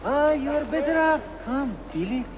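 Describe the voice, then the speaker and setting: A haggling bazaar merchant, very high-pitched and animated. He wheedles and acts offended like a theatrical video game trader, amid noisy street ambience. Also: moderate pace, crowd noise. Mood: wheedling. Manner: salesman's patter, mock-offended. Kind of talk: video game character acting.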